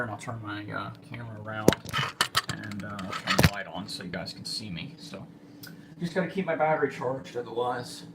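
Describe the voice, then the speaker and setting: A man chatting casually to livestream viewers as an amateur storm spotter, speaking haltingly with trailing pauses, on phone audio. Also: lowish voice, very noisy. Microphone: phone, held or propped nearby.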